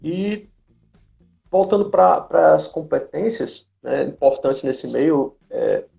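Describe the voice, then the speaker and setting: Male host, creaky voice, hesitant conversational delivery, muffled live-stream sound. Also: on the high side, expressive, takes it slowly, warm tone, soft background music. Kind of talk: casual interview chat. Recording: dull lo-fi live-stream audio.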